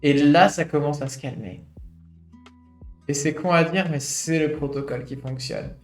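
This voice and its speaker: A man's voice: a little high, steady tone, normal speed. Man in his late twenties, roomy echo. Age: late 20s. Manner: reflective, thinking aloud with many fillers. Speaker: streamer. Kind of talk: reading a study aloud with personal commentary. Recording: roomy echo with faint guitar music.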